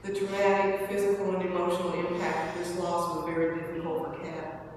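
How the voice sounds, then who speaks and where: Female memorial reader, halting measured delivery, distant in a reverberant hall with faint noise. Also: fairly low pitch, monotone. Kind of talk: scripted memorial reading. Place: large church hall, indoors. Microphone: far from the speaker. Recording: echoey church hall, distant mic, noisy.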